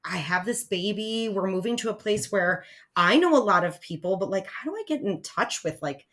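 A woman in her forties with a raspy voice, co-hosting a podcast in spunky, excitable casual chat. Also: mid-range voice, moderate pace. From United States. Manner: spunky, excitable, lots of fillers. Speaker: podcast co-host.

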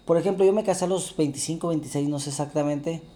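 A man's voice: a little high, fast talker, mellow. A man in his thirties with a Mexican Spanish accent, co-hosting a casual chat with his wife, joking and asking many questions. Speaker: podcast co-host.

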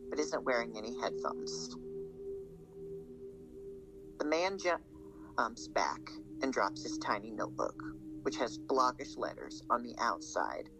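A man's voice: mid-range voice, very animated, measured pace, vocal fry, pauses often, rough recording. A man reading scripted dramatic narration as the narrator of a radio play. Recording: music under the voice.

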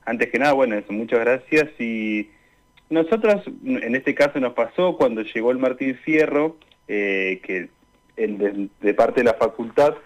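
A man's voice: medium pitch, measured pace, rough recording. A young man with an Argentine accent, a student guest chatting casually in a radio interview over a remote call. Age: young adult.

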